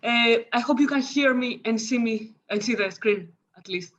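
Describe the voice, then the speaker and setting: Fast-talking Greek-accented woman. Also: on the high side, fairly bright tone, dull audio. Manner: fast, with hesitations and self-corrections. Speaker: conference speaker. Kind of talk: academic paper presentation. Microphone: video call.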